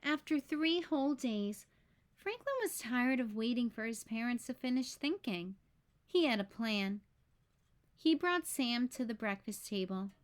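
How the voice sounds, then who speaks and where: A woman reading a children's picture book aloud as storyteller, with deliberate phrasing for young listeners. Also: on the high side, animated.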